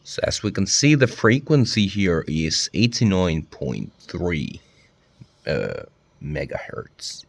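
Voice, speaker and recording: A man's voice: low-pitched, wildly swooping pitch, slow pace, gravelly. A man hosting a DIY video, giving a casual demonstration and often hesitating as he searches for words.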